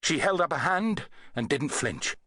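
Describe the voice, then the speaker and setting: A man reading an adventure novel as an audiobook narrator, in an expressive, dramatic storytelling manner. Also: on the high side, measured pace, slightly rough, no echo.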